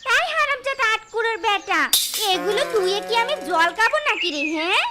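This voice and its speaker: A performer voicing a sharp-tongued, envious fox wife in a cartoon, nagging dramatically, over loud music. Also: very high-pitched, lively intonation, fairly quick. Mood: angry, scolding. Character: shrill, scolding, envious young fox wife. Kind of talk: cartoon voice acting. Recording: loud background music throughout.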